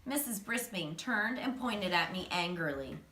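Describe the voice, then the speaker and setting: Female storybook reader, animated and emphatic, bright tone, slightly distant from the mic. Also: fairly low pitch, a little slow. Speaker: teacher reading a children's chapter book aloud. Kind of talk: expressive storybook narration. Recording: roomy echo, distant microphone.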